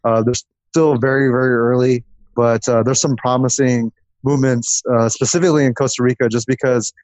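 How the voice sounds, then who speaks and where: A man's voice: mid-range voice, steady tone, fairly quick, darkish tone, no echo. A man speaking as a podcast guest in a casual interview, explaining things in a rambling way with lists. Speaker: podcast guest, growth marketer.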